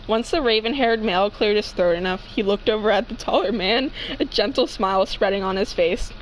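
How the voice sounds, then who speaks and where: A woman's voice: medium pitch, brisk, slight background noise, poor audio. A young woman casually reading fanfiction aloud with joking banter, giggly and free with swearing. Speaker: fanfiction reader.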